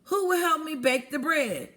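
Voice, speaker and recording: A woman voicing a hardworking, long-suffering little red hen from a children's storybook, emphatic and deliberate. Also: sits high, lively intonation, a bit bright, pitched above the performer's own voice. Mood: hopeful asking.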